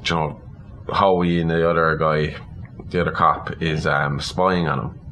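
Deep voiced guy casually recapping a movie on a podcast, bit of background noise. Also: fairly even intonation, a little slow, fairly bright tone. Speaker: podcast co-host. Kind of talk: casual recap of a film story, partly read from notes. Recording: clear background room noise.

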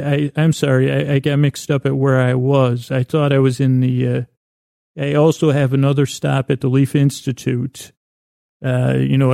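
Creaky, mellow man rambling softly through tangents. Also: mid-range voice, no room sound, clean studio sound. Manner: meandering, halting, stumbling over and repeating words; whimsical, self-deprecating, a little silly. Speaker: podcast host. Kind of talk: rambling bedtime podcast monologue.

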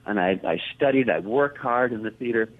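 A man's voice: medium pitch, normal speed, no echo. Enthusiastic man on a thin phone line. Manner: warm, enthusiastic, repeats words when excited. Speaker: guest, voice actor. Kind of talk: storytelling interview talk.

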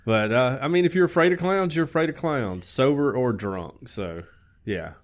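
A man's voice: medium pitch, animated, normal speed. A man co-hosting a podcast in casual, rambling chat, laughing often, with a slight head cold. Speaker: podcast co-host.

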